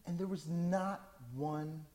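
A man from New Jersey who works as a poet. He gives an intense, measured reading of his poems and then talks casually with a live audience, laughing as he goes. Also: a little high, fairly even intonation, mellow. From New Jersey, USA. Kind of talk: poetry reading and audience talk. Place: live event in front of an audience.

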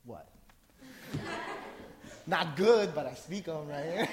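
A man from New Jersey who works as a poet. He gives an intense, measured reading of his poems and then talks casually with a live audience, laughing as he goes. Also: sits high, sing-song, noisy background. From New Jersey, USA. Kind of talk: poetry reading and audience talk. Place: live event in front of an audience.

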